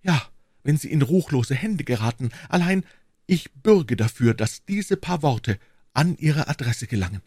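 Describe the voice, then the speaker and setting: A man's voice: mid-range voice, animated, unhurried, a little mellow, slightly rough, no echo, studio quality, voice pushed up. A man voicing a devoted, brave young woman servant with an earnest, pleading and reassuring manner in a storybook reading. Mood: urgent, assuring.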